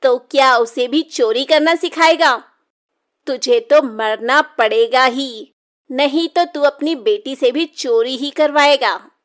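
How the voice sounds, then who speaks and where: Woman acting a cartoon witch, high-pitched, unhurried, mocking, vengeful, cackling. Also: fairly bright tone. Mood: scolding, menacing. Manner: taunting, cackling. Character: vengeful, mocking young female witch with a camera. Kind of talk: children's cartoon horror story.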